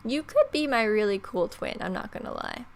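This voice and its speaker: A woman's voice: mid-range voice, animated, moderate pace. A young woman hosting a gaming let's play, reading a story aloud and adding wry, playful casual asides. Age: young adult. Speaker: gaming host.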